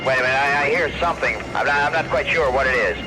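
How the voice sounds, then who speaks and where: An actor playing a shaken, awed male field reporter in a radio drama, giving a halting eyewitness report over a noisy two-way radio link. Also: medium pitch, over music, close to an everyday voice. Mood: nervous. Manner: halting, stammering repeats.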